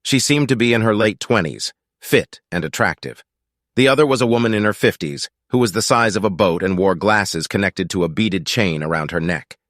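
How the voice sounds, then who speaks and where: A man's voice: fairly low pitch, lively intonation, moderate pace, fairly bright tone, no echo, studio quality. A man reading scripted fiction as an audiobook narrator.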